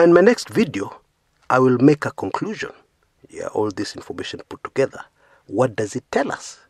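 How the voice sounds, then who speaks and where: Male commentary host from Kenya, second-language English, earnest and emphatic, animated intonation, slightly husky. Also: mid-range voice, no room sound, studio quality. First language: not English. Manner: earnest, emphatic, frequent "yeah" tags, rising stresses on key words. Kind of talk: opinion commentary monologue.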